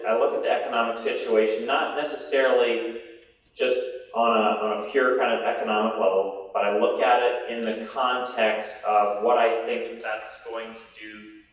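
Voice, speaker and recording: Loud man presenting in an echoey hall. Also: medium pitch, steady tone, normal speed. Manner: loud, conversational, with frequent restarts. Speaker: presenter on investment strategy. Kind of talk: conference presentation. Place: meeting room. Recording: echoey room from far off.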